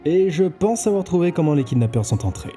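A man's voice: on the high side, sing-song, fairly quick, dark tone. A man acting an earnest, self-assured investigator in an audio drama. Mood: intent. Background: music.

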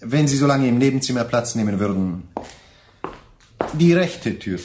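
A man's voice: mid-range voice, lively intonation, normal speed, darkish tone, a little hiss or hum, natural voice. A man playing an oily consular official in a radio play, formal, overly courteous and evasive. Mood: politely evasive. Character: oily, evasively polite male Latin American consular official. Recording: roomy, echoing sound.

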